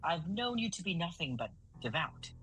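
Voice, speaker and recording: A man's voice: fairly high pitch, big pitch swings, normal speed, sharp tone, poor audio. Man playing a formal, preachy fatherly priest. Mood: earnest, counseling. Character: earnest fatherly priest counseling a young confessor. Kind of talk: audio drama acting.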